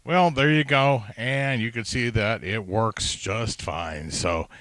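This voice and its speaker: A man's voice: on the low side, takes it slowly, fairly bright tone, no echo. A plainspoken man giving a casual, informal product demonstration as a restorer and seller.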